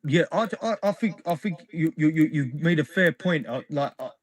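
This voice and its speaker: British man, caller, casual chat, somewhat high pitch, stammering restarts. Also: moderate pace, no room sound. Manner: stumbling storyteller, restarts sentences.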